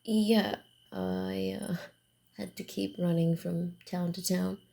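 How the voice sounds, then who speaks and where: A woman voicing a proud, playful vampire wanderer in an ASMR roleplay, halting and teasing, easily flustered. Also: lowish voice, fairly even intonation, vocal fry, mellow. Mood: casual, teasing. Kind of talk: ASMR roleplay, acted one-sided dialogue.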